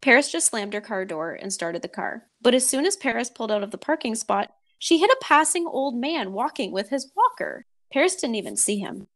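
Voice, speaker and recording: A woman's voice: on the high side, very animated, fairly quick, hardly any pauses. A woman podcast host, talking and reading a story aloud in a dramatic way.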